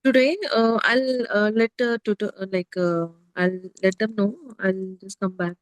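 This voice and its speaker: A woman's voice: medium pitch, fairly even intonation, a little slow, dry recording. Indian woman, hesitant, dry close sound. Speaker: prospective student. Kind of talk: casual inquiry conversation.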